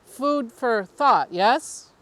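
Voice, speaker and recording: A woman reads as a smooth-talking male politician in a story, secretive and persuasive. The delivery is slow and confiding, with lively swings of pitch in a high register. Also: full character voice. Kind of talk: audiobook character dialogue.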